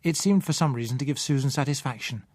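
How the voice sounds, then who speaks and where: A British man narrates a first-person novel. His voice is mid-pitched and his delivery is measured and literary. The recording is dry, with very little room around the voice. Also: darkish tone, dry recording. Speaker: audiobook narrator.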